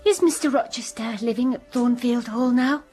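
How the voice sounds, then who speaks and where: An actress playing a young English governess, narrating in the first person with measured, wistful delivery over music. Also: female voice, on the high side, darkish tone, close to an everyday voice. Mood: polite. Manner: measured, wistful, rising to urgent. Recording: music under the voice.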